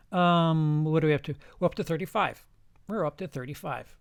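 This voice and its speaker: A man with a somewhat high, gravelly voice talks unscripted through his film rankings. He rambles, restarts his sentences often and swears casually. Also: moderate pace. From lived in Denmark. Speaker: channel host ranking films.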